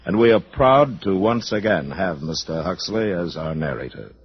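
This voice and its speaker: A man with an American accent announcing formally and grandly in deliberate 1950s radio style. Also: low-pitched, expressive, slightly rough, no echo. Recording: dull old radio broadcast recording. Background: faint orchestral music.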